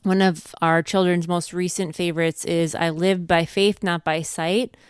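Clear-voiced woman giving casual, earnest advice. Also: lowish voice, steady tone, a little slow, no echo, studio quality. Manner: conversational, earnest. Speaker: co-host, homeschooling mother.

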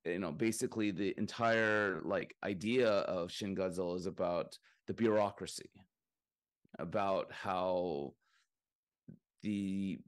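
A man co-hosting a podcast, chatting casually about films in a rambling way with many fillers and restarts. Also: low-pitched. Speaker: podcast co-host.

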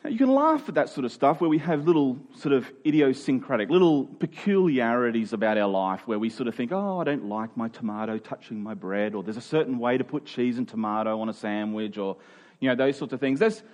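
A man's voice: medium pitch, expressive, a little fast, a little mellow, lo-fi sound. A man preaching in a conversational, expository style, earnest and informal, leaning on key phrases for emphasis.

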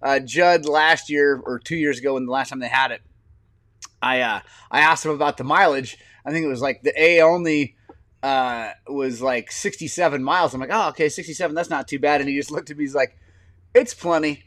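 A man in his forties, a podcast host telling stories in casual chat, animated and quick to laugh. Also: fairly high pitch, no echo. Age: 40s. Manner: animated storyteller, laughs often.

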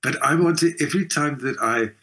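A man's voice: fairly high pitch, a little fast, bright, forceful tone. A man in his sixties with a South African accent, speaking reflectively and emphatically in a conversational interview. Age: 60s. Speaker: interview guest, writer and performer.

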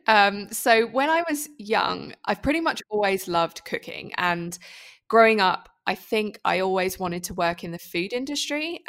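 A woman's voice: mid-range voice, fairly bright tone. A British woman in her twenties, a guest on an interview podcast, telling her story in a chatty way with lots of "um" and "kind of". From United Kingdom. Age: 20s. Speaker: guest, food photographer. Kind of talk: casual interview chat.

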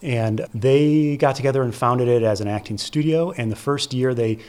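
A man's voice: mid-range voice, normal speed, darkish tone, smooth. A man with an American accent giving conversational, explanatory interview answers as a theater producer, stressing points with short repeated phrases. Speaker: theater festival producer and curator, interview guest.

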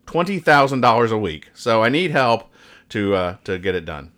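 A man with a lowish voice hosts a casual show. He rambles along conversationally, describing things in a joking, mock-serious way. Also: big pitch swings, normal speed, a bit bright. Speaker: podcast host. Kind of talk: casual banter, reading and describing comic strips.

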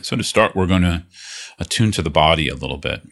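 A man leading a guided meditation as a teacher, speaking in an unhurried, reassuring way with phrases broken by pauses. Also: sits low, animated, a bit bright. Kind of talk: guided meditation, gently instructive.

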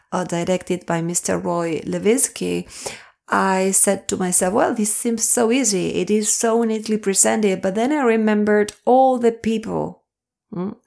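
Woman, slightly hoarse and creaky, unhurried pace, deliberate podcast delivery, speaking straight to listeners. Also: mid-range voice, darkish tone. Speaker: podcast host, wellness coach. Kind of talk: podcast talk addressed to listeners, part scripted.

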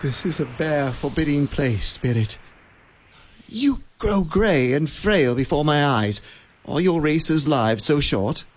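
An actor playing a frightened old miser who pleads with the ghosts in halting, anxious speech in a radio play. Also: male voice, a little high, lively intonation, a little hiss or hum. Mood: uneasy. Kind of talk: 1940s-style radio drama acting.

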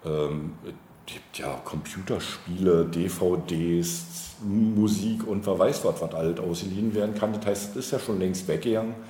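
A man giving reflective, deliberate interview answers on policy, often pausing and searching for his phrasing. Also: low-pitched, mellow. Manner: hesitant, deliberate, halting phrasing. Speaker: political candidate being interviewed. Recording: roomy, noticeable background noise.

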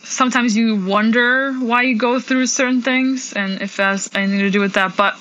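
Bright-voiced woman musician chatting. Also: fairly high pitch, steady tone, normal speed, highs cut off, a little hiss or hum. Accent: Polish. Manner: chatty, many fillers and laughs. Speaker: percussionist guest. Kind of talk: casual interview answers.

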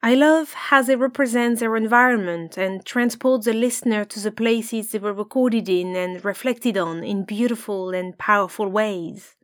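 A woman hosting a podcast, warmly recapping the pieces that were just played. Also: mid-range voice, moderate pace, hardly any pauses. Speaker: podcast host. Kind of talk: scripted recap.

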